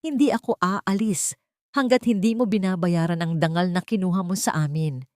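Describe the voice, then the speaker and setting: A woman's voice: fairly low pitch, moderate pace, darkish tone, no room sound, clean studio sound, lightly acted. A woman voicing a bitter, menacing rich woman in a narrated drama story. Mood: threatening. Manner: accusing, menacing. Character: bitter, threatening rich woman out for money and revenge.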